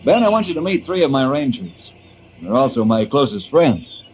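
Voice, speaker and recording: An actor playing a friendly, steady ranger leader in an old time radio drama, explaining things calmly. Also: male voice, mid-range voice, moderate pace, slight background noise, natural voice, poor audio. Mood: friendly, explanatory.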